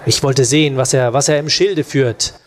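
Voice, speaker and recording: A man's voice: medium pitch, moderate pace. A man playing a seventeenth-century clergyman in an audio drama, delivering a scripted line. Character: male clergyman from Newton's time. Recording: roomy echo, faint noise.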